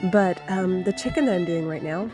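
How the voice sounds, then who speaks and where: A woman's voice: lowish voice, brisk, over music. Chatty homesteading woman with a cold. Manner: chatty and rambling, with many fillers and restarts. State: getting over a cold, voice a little off. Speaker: homestead vlogger. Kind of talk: casual cooking vlog narration. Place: home kitchen.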